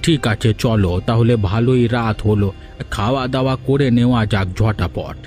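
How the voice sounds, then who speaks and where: A man's voice: mid-range voice, normal speed, a little mellow, close to an everyday voice. A hesitant cartoon husband, doubtful of every plan, as a man voices him. His voice sits in a mid range and he keeps asking worried questions. Faint music plays under him. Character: doubtful, cautious village husband. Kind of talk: dubbed cartoon dialogue. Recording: music in the background.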